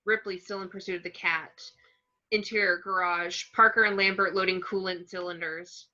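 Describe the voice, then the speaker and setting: A woman's voice: fairly low pitch, steady tone, normal speed, sharp tone. A woman narrating stage directions from a screenplay in short clipped phrases, on a lo-fi recording. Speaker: narrator reading action descriptions.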